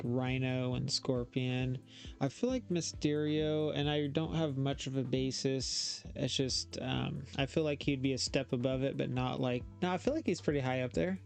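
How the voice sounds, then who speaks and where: A man's voice: mid-range voice, vocal fry. A man giving casual reaction commentary as a host, often thinking aloud and hesitating.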